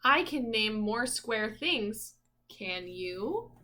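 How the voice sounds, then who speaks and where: Slow, higher-voiced woman teacher reading aloud. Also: very animated, pushed and bright. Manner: sing-song, prompting listeners with questions. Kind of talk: picture-book read-aloud for young children.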